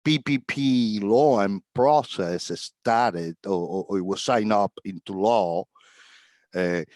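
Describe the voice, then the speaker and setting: A middle-aged man from Cuba, a tax agent talking as a guest on a video call in a relaxed, rambling way with fillers and chuckles. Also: on the low side, slow, dry recording, clean studio sound. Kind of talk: casual video-call interview.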